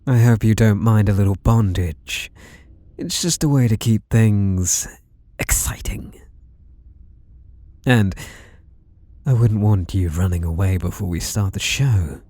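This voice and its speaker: A British man playing an obsessive, seductively playful masked killer, taunting in whispery, drawn-out questions close to the mic in an ASMR roleplay. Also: on the low side, mellow, no echo. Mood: smug, menacing. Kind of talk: ASMR roleplay, intimate monologue. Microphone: close microphone.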